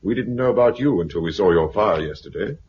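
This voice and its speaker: An actor with a British accent playing a smooth, officious, condescending self-appointed commander in a 1950s radio drama. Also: male voice, fairly low pitch, animated, fast. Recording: muffled, hissy 1950s radio recording.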